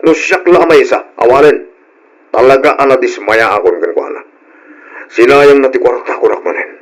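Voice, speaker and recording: A man's voice: medium pitch, sing-song, normal speed, close to an everyday voice. A man playing a grumbling, disappointed middle-aged father in an old-style radio drama. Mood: exasperated, disappointed. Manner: complaining, exasperated. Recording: dull, lo-fi old radio recording.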